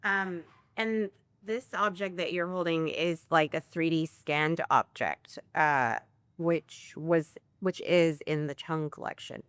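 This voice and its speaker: Woman, interviewer, conversational questions, lower voice, dry recording. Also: slow, a bit bright, smooth, no room sound.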